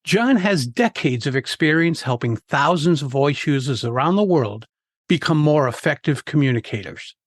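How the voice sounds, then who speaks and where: A man hosting a public speaking podcast, reading a measured, clear introduction and asking his guest questions. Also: fairly high pitch, animated, dry recording, clean studio sound. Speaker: podcast host. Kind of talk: scripted introduction and interview questions.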